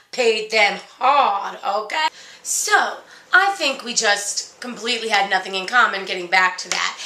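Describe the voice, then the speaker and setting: Jaded married fairy-tale princess, played by a comedienne: brash, vain, gossipy, high and bright, in a roomy space. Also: female voice. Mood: breezy, boastful. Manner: sassy, gossipy, crude, chatty asides to the ladies. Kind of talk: comedic mock interview character monologue. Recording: roomy, echoey sound.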